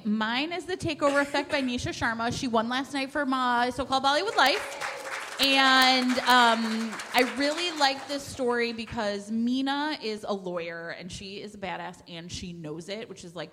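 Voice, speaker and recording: High-voiced woman in an echoey hall. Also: moderate pace, pushed and bright, some chatter behind, a little hiss or hum. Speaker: podcast co-host on a panel. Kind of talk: casual live book recommendations. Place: large room with a live audience, indoors. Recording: echoey hall with long reverb.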